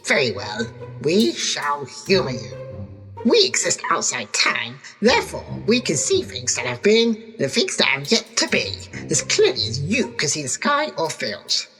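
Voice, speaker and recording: Woman as a deep-voiced, solemn celestial being. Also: huge pitch swings, measured pace, a bit bright, faint music behind, full character voice, poor audio. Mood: knowing gravity. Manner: formal, pronouncing, theatrical. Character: solemn timeless celestial being, all-seeing and sternly righteous. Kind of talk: fantasy audio drama acting.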